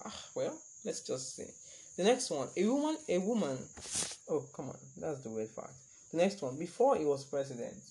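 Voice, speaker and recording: A man's voice: mid-range voice, dark tone, low-quality recording. A young man hosting a casual solo podcast, chatty and rambling, laughing at his own remarks. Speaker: podcast host.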